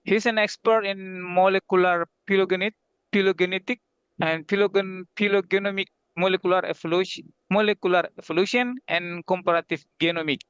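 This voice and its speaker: A man moderating an online conference session, speaking halting non-native English in a formal introductory style. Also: high-pitched, steady tone, fairly bright tone, smooth, no echo. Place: online video call. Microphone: video-call microphone. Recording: dull, lo-fi video-call audio.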